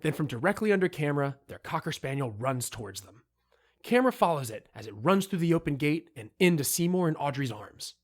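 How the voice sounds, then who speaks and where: A man reading out a screenplay's scene directions as scripted narration. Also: a little high.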